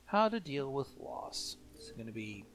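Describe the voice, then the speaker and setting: A man's voice: mid-range voice, very animated, normal speed, a bit bright, slight background noise. A man co-hosting a reaction show, chatting casually and reading on-screen text aloud.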